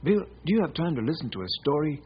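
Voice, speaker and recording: An actor playing a polite, earnest bank president with a husky, raspy voice and formal manner in an old radio western. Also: male voice, on the high side, big pitch swings, quick pace. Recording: dull old radio recording.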